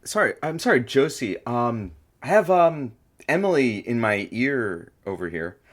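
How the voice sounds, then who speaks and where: Lively-pitched, apologetic male radio producer. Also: unhurried. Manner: apologetic, hesitant. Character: hesitant, polite male radio producer screening calls. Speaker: radio producer and call screener.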